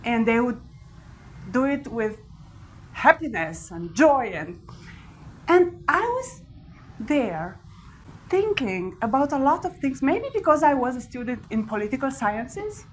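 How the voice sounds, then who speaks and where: A woman in her forties with a Romanian accent, giving a live talk to an audience with animated storytelling and frequent laughter. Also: high-pitched, measured pace, long pauses, slight background noise, low-quality recording. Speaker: speaker. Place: large event hall with an audience.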